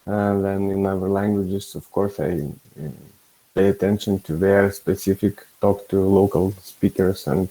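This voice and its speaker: Mellow-voiced man, Lithuanian native speaker. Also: low-pitched, fairly even intonation, low-quality recording. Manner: halting, searching for words. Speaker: roundtable participant. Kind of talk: casual online roundtable chat. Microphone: video call.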